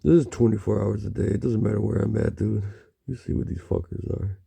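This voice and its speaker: A man talking in a halting, rambling monologue, murmuring and pausing with frequent low hums. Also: steady tone, vocal fry, mellow, slightly rough.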